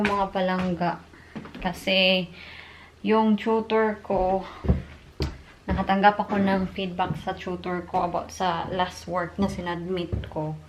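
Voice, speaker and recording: Candid Filipina vlogger. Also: female voice, lowish voice, noisy background. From Philippines. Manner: candid, openly disappointed and venting. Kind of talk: casual vlog chat, mixing Filipino and English.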